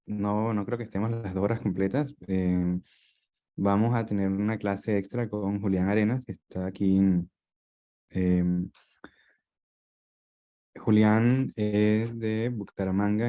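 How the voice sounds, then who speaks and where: A man's voice: fairly low pitch, dark tone, muffled recording. A man speaking as a course instructor, informally introducing a guest to an online class.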